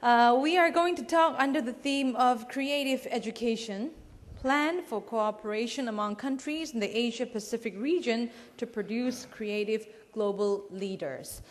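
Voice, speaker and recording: A woman introduces a conference session as MC, delivering her lines in a smooth, scripted way. Her voice is fairly high, and a long reverb trails each phrase as it carries through a large hall. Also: normal speed. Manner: polished and scripted, with a light joke. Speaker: event MC. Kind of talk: formal announcing.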